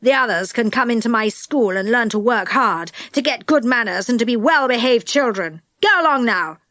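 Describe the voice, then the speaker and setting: A strict old schoolmistress, as a woman reader puts her on, cold and clipped, in a lower, measured voice than the storyteller's own. The speech comes slow and sharp, like a harsh storybook teacher laying down the rules. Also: lively intonation, no room sound, clean studio sound. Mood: stern.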